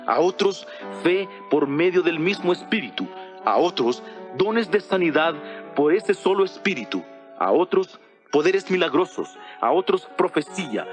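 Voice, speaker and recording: A man's voice: on the high side, lightly acted. Solemn apostle played by a man, music behind. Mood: solemn, instructing. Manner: solemn, measured, rhetorical. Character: earnest male apostle Paul instructing his church. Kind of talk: dramatized audio Bible reading. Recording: voice over background music.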